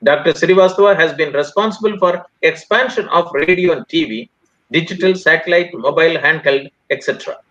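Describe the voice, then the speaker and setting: A man with an Indian accent speaks as a formal webinar host, delivering a scripted address phrase by phrase. His voice sits fairly high and has a sharp, forceful edge. The audio is somewhat dull and lo-fi. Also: a little fast.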